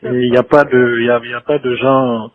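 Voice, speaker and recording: A tinny telephone recording of a man with a medium-pitched voice. He gives an informal briefing in a halting, deferential way, often pausing and repeating words. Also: level tone, no echo. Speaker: former government official briefing by phone. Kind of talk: informal phone conversation. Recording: tinny, band-limited phone line.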